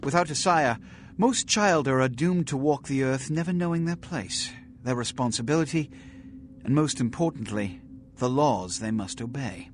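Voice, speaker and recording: An actor playing a pompous, authoritative vampire prince in a video game, speaking in a formal, oratorical and measured manner. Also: male voice, mid-range voice, slight background noise, lightly acted. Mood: magnanimous, stern. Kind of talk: theatrical video game acting.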